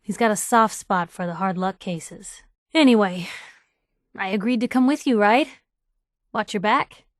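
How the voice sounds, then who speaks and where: A woman's voice: mid-range voice, measured pace, pauses often, no echo, clean studio sound, natural voice. A woman voicing a sardonic, outspoken young newspaper reporter companion in a video game, in a wry conversational way. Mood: wry, friendly.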